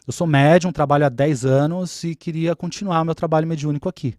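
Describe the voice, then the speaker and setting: Higher-voiced man explaining patiently. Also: fairly even intonation, fairly quick, a little mellow, no echo, studio quality. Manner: explanatory, patient, repeats points. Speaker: umbanda spiritual leader and guest. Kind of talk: podcast interview explanation.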